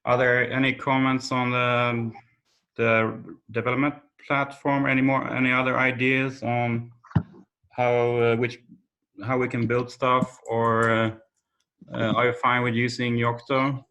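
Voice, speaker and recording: A man chairs an informal work call in a mid-pitched voice with a lot of vocal fry. He talks tentatively, stopping and starting as he goes. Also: level tone, unhurried, pushed and bright, low-quality recording. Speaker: meeting chair. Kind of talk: informal meeting talk. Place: remote conference call.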